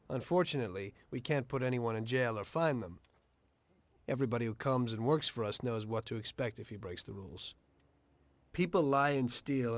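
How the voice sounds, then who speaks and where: Actor playing weary streetwise mobster, close dry sound. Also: male voice, medium pitch, dry recording, close to an everyday voice. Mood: defensive, insistent. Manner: plainspoken, streetwise, measured. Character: weary, pragmatic middle-aged male mobster defending his life. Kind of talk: video game voice acting.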